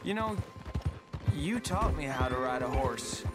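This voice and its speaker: A man's voice: mid-range voice, expressive, measured pace, close to an everyday voice. An actor playing a naive, earnest and insecure young American man in an Old West video game. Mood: rueful. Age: young adult. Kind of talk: video game dramatic acting. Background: music, horse hooves.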